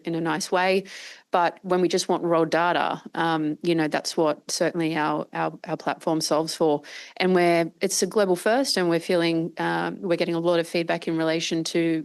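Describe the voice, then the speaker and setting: A woman with a croaky voice, giving a long-winded panel answer full of restarts and fillers. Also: on the low side, darkish tone. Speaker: panelist, company founder.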